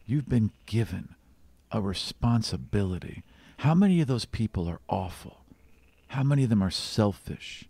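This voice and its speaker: A man's voice: fairly low pitch, animated, moderate pace, mellow, slightly rough, no echo. A man voicing a loyal, protective friend in a tabletop fantasy game, earnest and urging. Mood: solemn urging.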